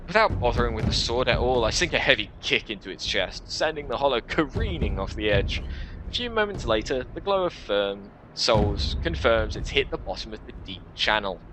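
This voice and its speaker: A man's voice: mid-range voice, sing-song, moderate pace, background noise. A man reading a scripted first-person action story as a dramatic narration for a video game.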